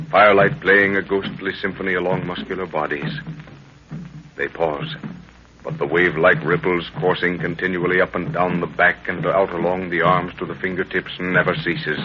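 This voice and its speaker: A man narrating a jungle adventure serial as its announcer, in a portentous, deliberate, theatrical 1930s radio style. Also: sits low, lightly acted. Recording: muffled, noisy 1930s radio recording.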